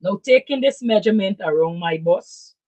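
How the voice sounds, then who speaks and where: A husky, hoarse woman talks through a casual live sewing lesson, filmed on a camera set in front of her. She repeats herself and keeps asking "right?" The room sounds dry and close. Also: mid-range voice, lively intonation, moderate pace, mellow, dry recording. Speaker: sewing and pattern-drafting teacher.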